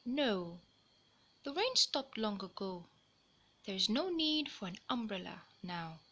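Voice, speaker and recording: A woman's voice: mid-range voice, sing-song, slow, pushed and bright, full character voice. A woman reading a storybook as an eager, kind little boy who plays detective, sounding earnest and brave. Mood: kind, reassuring.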